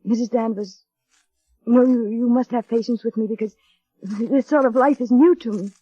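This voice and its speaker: Actress as high-voiced, timid young bride, old radio. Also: female voice, fairly even intonation, moderate pace, warm tone, lightly acted. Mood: shy, uncertain. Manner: hesitant, polite, wistful. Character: shy, gentle, nervous young bride narrating her story. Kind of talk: 1930s radio drama acting and narration. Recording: dull, muffled vintage radio broadcast, music.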